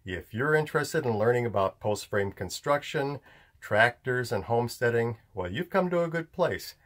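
A man's voice: medium pitch, animated, normal speed, a bit bright. An older man hosting a homestead vlog, explaining barn building in a plainspoken, folksy way on a lapel mic. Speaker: homestead channel host. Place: indoor room, later inside a metal pole barn.

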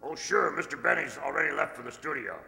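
Older man playing a gravelly, sharp-voiced wisecracking valet. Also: on the high side, fast, heavily acted. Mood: chatty, flirtatious. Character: wisecracking male household valet, easygoing and flirtatious. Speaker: amateur radio-play actor. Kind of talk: old-time radio comedy acting. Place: large indoor stage hall, stand mic. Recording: reverberant hall, stage microphone.

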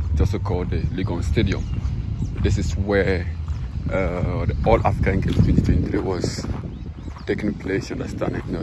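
A Ghanaian man with a low-pitched voice presents an informal walking commentary for sports viewers. He checks in with his audience often as he goes. The handheld outdoor recording carries very heavy background noise. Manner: informal, frequent "you understand" check-ins. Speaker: sports channel host. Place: outdoors, open stadium grounds and car park.

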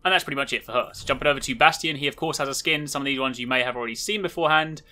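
A man's voice: mid-range voice, very fast, sharp tone. A man giving an upbeat, casual and enthusiastic video walkthrough as a gaming host.

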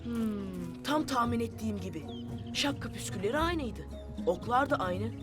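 A performer voicing a brave, curious young boy in an animated adventure, speaking earnestly and expressively. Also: medium pitch, normal speed, music in the background, lightly acted. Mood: determined, secretive. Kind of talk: animated children's adventure cartoon acting.

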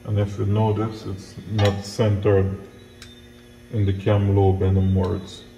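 A man's voice: fairly low pitch, completely flat delivery, measured pace, mellow. A middle-aged man, a mechanic giving plain-spoken, step-by-step hands-on instruction. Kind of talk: hands-on tutorial narration. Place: small bare-walled indoor auto workshop. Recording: echoey hard-walled room, noticeable background noise.

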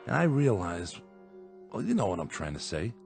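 An actor playing a guilty middle-aged husband, defensive and pleading in a radio drama. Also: male voice, on the low side, big pitch swings, moderate pace, gravelly, a little mellow, faint music behind.

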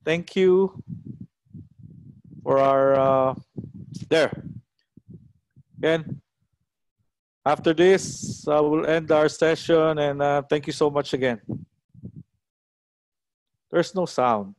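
A middle-aged man with a Filipino accent, hosting casually on a video call in a halting, repetitive way. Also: fairly high pitch, no room sound, poor audio. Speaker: online auction host. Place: small room at home, indoors. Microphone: headset on a video call.

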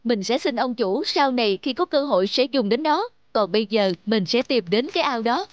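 A woman's voice: fairly high pitch, expressive, moderate pace, no room sound, muffled recording, close to an everyday voice. A woman voicing an eager, polite young male labourer in a cartoon, speaking earnestly. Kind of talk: cartoon dub acting.

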